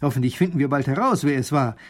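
A man's voice: mid-range voice, fast talker, lightly acted. A man voicing a formal, deferential male baron advising his ruler in a storybook. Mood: courtly.

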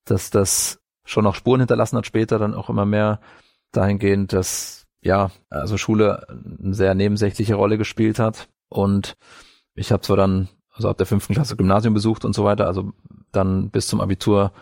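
A man from northern Bavaria telling his life story as an interview guest, halting as he searches for words. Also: lowish voice, flat delivery, vocal fry, a little mellow, dry recording, studio quality. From northern Bavaria, Franconia, Germany. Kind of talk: personal life story in conversation.

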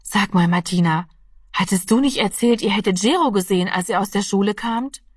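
A woman's voice: medium pitch, fairly quick, husky, no room sound, studio quality, far from the mic, lightly acted. A woman voicing a worried mother who questions her family urgently in an audiobook. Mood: questioning. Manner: anxious, questioning. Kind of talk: audiobook character voice.

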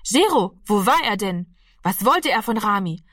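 A woman's voice: mid-range voice, big pitch swings, a little slow, a bit bright, dry recording, clean studio sound, recorded from a distance. A woman voicing a worried mother who asks urgent questions. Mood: alarmed.

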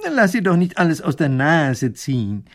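A man voicing a clever old professor who speaks slowly and thoughtfully, with hesitant pauses. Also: on the high side, big pitch swings, voice pushed up. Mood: pondering. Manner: slow, pondering delivery with hesitations. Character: clever, impatient old male professor-minister.

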